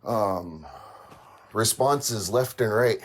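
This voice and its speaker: A raspy-voiced man speaks slowly and haltingly, his words trailing into fillers and restarts. His voice sits a little low for a man. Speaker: caller sharing a paranormal story. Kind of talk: hesitant casual storytelling.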